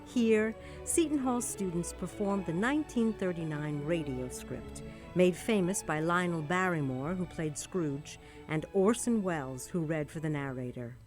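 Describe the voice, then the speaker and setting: A woman announcer reading a scripted radio introduction in a measured, formal way. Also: fairly low pitch.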